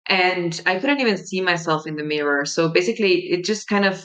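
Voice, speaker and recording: A young woman from Mexico, a native Spanish speaker with a Mexican Spanish accent, hosting her podcast in a gentle, reflective monologue with soft pauses. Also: fairly low pitch. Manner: gentle, warm-hearted, unhurried with soft pauses. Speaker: podcast host.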